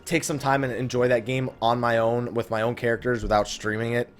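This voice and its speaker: A man's voice: medium pitch, level tone, brisk, faint music behind. A man giving casual, rambling let's play commentary, informal and often correcting himself as he drifts into side thoughts. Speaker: gaming video host.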